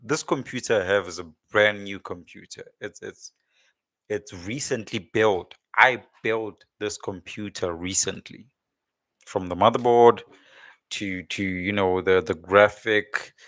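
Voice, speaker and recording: Man, deep voice, stuffy nose, rambling livestream chat at home. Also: unhurried, a bit bright, no room sound. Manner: rambling, repeats words while searching. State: congested, sore throat. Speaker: livestream host. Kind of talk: casual religious livestream chat.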